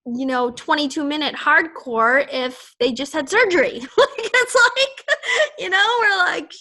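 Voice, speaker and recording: A woman's voice: high-pitched, animated, measured pace, pushed and bright. A woman with a Boston accent leading a casual team call, chatty and rambling, laughing often. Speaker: fitness team leader.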